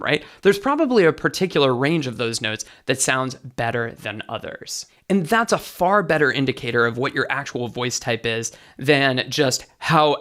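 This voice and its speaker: A man with a light, bright tenor voice, a vocal coach explaining singing technique as an interview guest. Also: medium pitch. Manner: enthusiastic teaching, sings short demos.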